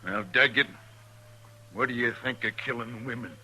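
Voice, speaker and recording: An American actor playing an angry, blunt, accusing stagecoach driver in a 1950s radio Western. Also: male voice, mid-range voice, moderate pace, bright, forceful tone, husky. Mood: bitter, accusing. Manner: blunt, insistent. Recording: noisy 1950s radio recording.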